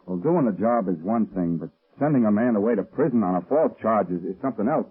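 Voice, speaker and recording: Casting: meek conscience-stricken accomplice, halting and husky, low voice, vintage radio play, tinny sound. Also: male voice, animated. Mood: nervous, conscience-stricken. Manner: hesitant, halting delivery. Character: nervous, guilt-ridden male family man, meek accomplice. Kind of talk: 1930s-40s radio drama acting.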